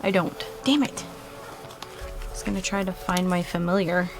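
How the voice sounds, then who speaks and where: A woman's voice: on the low side, fairly even intonation, normal speed. A woman chatting casually as a tabletop game player, thinking aloud about her moves. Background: music.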